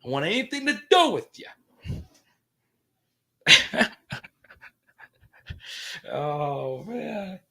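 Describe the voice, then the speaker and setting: Slow-talking, laughing man in his thirties. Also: high voice, sing-song, vocal fry, pushed and bright. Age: 30s. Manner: laughs often, stammering restarts, joking. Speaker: sports podcast host. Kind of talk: casual livestream chat reading viewer comments.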